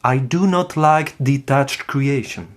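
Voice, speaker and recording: A man with a French accent voicing an uncompromising avant-garde poet, reciting a manifesto in a solemn, declamatory, deliberate way. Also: medium pitch, voice pushed up, natural voice. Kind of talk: dramatic literary recitation.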